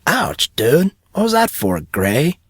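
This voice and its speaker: A man's voice: on the high side, huge pitch swings, takes it slowly, a bit bright, husky, no room sound, clean studio sound, voice pushed up, full character voice. A man voicing a brash, movie-mad teenage schoolboy in an audiobook, casual and teasing, with slangy talk. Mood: protesting. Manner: slangy, says "bro" often.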